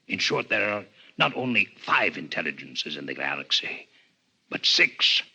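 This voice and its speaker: An actor playing a grave, coldly intellectual alien physician in a 1950s radio drama, speaking in formal, measured lectures with a husky voice. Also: male voice, low voice, very animated, bright, forceful tone, full character voice. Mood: grave, lecturing. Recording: 1950s radio broadcast recording.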